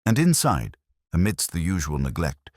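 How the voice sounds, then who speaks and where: A man playing a weary, middle-aged literary police inspector, narrating his case in a measured, reflective detective-drama style. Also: sits low, very animated, dry recording, studio quality, exaggerated voice. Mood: reflective, grave. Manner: measured, brooding, literary delivery. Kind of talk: scripted first-person detective narration.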